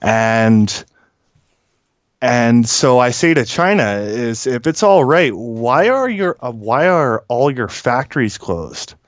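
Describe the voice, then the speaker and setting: A man speaking as a guest caller, giving informal industry commentary in a halting, blunt, opinionated way with many filled pauses. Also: medium pitch, sing-song, no room sound.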